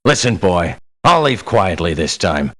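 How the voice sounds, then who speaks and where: An actor voicing a gruff, menacing veteran mercenary in a video game, with a gravelly voice and a blunt, folksy, condescending manner. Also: male voice, deep voice, sing-song, a little slow, bright, forceful tone, exaggerated voice. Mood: angry, threatening. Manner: blunt, folksy, talks down to the listener. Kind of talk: video game character voice lines.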